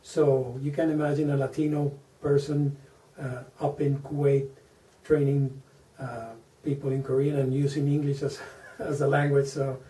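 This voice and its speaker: A man in his forties from Venezuela with a Venezuelan Spanish accent, a native Spanish speaker, answering interview questions slowly, with many fillers and repeated words. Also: mid-range voice, level tone, warm tone. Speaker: interview guest, engineer. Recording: echoey room, mic at a distance.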